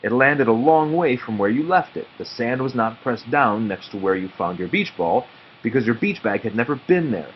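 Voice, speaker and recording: A man voicing an earnest, deadpan boy detective in a children's storybook read-aloud. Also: mid-range voice, moderate pace, highs cut off, slight background noise, lightly acted. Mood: earnest, assured.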